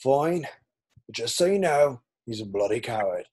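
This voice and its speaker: A man playing a gruff, kindly, soft-hearted gamekeeper in an amateur screenplay table read. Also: mid-range voice, lively intonation, normal speed. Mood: grave, instructing.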